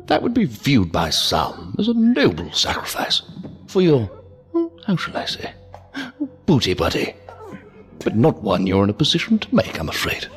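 A man's voice: on the low side, huge pitch swings, moderate pace, faint music behind. A man playing a sinister, courtly, inhuman villain in an audio drama, verbose and mockingly polite in a mannered, theatrical way. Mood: mocking, menacing. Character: sinister, courtly, unhurried villain, inhuman interrogator posing as a gentleman. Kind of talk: science fiction audio drama acting.

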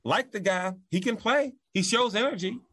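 A man co-hosting a casual sports talk show, animated and laughing as he rants. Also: high voice, moderate pace, no echo. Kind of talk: casual podcast banter.

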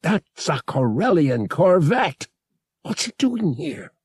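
A brash male starship pilot acted out by a male narrator in a space adventure audiobook. He is gruff, blunt and sardonic, with a husky, gravelly voice and animated pitch. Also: measured pace, dry recording, clean studio sound, exaggerated voice. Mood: alarmed, frustrated.

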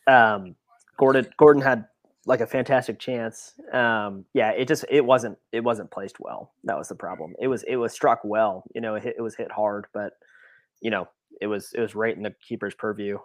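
A man's voice: on the low side, normal speed, dry recording. A man co-hosting a sports podcast, giving casual analysis in a conversational way. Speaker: podcast co-host.

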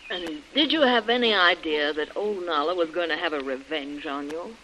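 A woman playing a sharp-witted female adventurer in a vintage radio drama, brisk and assertive. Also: low-pitched, muffled recording. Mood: inquisitive. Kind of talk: 1940s radio drama acting. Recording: noisy old radio broadcast recording.

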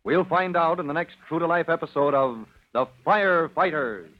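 A man's voice: on the high side, animated, normal speed, a little hiss or hum. A man narrating an old-time radio adventure serial as its announcer, in a dramatic scripted style. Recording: thin, narrow-band old radio recording.

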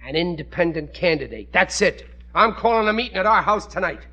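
An actor playing a naive, self-important American working-class husband in an old radio comedy, blustery, folksy and ungrammatical. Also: male voice, high voice, animated, fairly quick, bright, forceful tone, highs cut off, full character voice. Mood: determined. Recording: vintage radio recording with studio audience.

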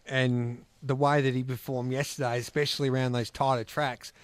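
A man's voice: medium pitch, flat delivery, moderate pace, no echo. Aussie guy hosting a racing show, chatty, ribs his mates, dead dry sound. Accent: Australian. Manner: teasing, chatty, asks questions. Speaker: harness racing podcast host. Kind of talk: casual racing chat.

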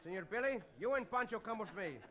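An actor playing a confident, gallant cowboy hero with measured, formal phrasing in an old-time radio western. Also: male voice, high voice, expressive, muffled recording. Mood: commanding. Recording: hissy old radio recording.

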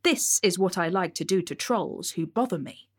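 A woman's voice: fairly low pitch, moderate pace, slightly rough. A woman voicing a small, clever, fearless boy in a cheeky, confident tone. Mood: cocky.

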